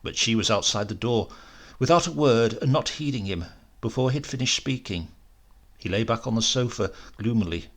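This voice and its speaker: A man with an English accent reading a literary story aloud in an expressive, measured way. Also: mid-range voice. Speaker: audiobook reader.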